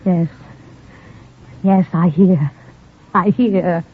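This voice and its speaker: An actress playing a guarded Parisian nightclub singer turned double agent in a 1950s radio drama, deliberate and coaxing. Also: female voice, fairly low pitch, steady tone, noisy background. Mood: placating. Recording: narrow, tinny 1950 radio recording, music behind.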